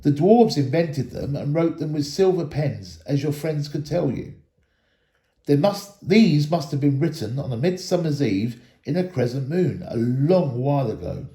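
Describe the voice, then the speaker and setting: A man voicing a wise, grave ancient elf lord in a storybook, with measured, solemn, archaic phrasing. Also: on the high side, animated, mellow, reverberant. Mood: absorbed, explaining.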